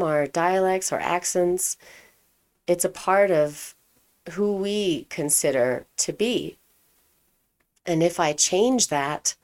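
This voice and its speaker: A woman's voice: deep voice, a little mellow. A young adult woman from Europe, telling her life story in a chatty, casual way with frequent fillers.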